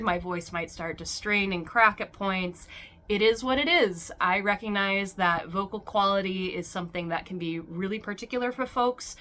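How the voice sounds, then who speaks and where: A woman chatting casually as a doll collector vlogger, rambling with restarts, her throat still hoarse and her nose sniffly from being sick. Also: on the low side, bright, forceful tone. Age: adult. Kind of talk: casual chat to camera. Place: warm room at home with a ceiling fan.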